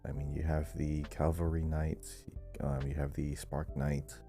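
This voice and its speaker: A man's voice: extremely low pitch, little pitch movement, measured pace, dark tone, soft background music. A man co-hosting a casual podcast, chatting informally about a video game. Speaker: podcast co-host.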